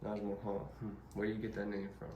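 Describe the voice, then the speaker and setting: A man's voice: lowish voice, monotone, quick pace, background noise. A man hosting an interview, asking questions and summing up the guest's answers back to him. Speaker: interviewer host. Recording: roomy, distant mic with faint ambience.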